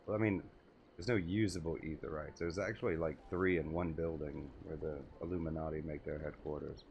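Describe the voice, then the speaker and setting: A man's voice: very deep voice, steady tone, fairly quick, vocal fry, lo-fi sound, slight background noise. A man giving casual live commentary as he plays a game on stream. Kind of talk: casual live gameplay commentary, reading dialogue aloud. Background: faint music.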